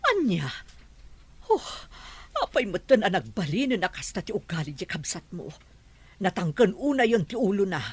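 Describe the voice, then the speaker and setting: A woman's voice: mid-range voice, sing-song, normal speed, slightly rough, dry recording. An actress playing an anxious, middle-aged mother in an emotional radio drama. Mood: alarmed, upset. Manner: emotional, fretful. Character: anxious middle-aged mother, fretting over her wayward daughter.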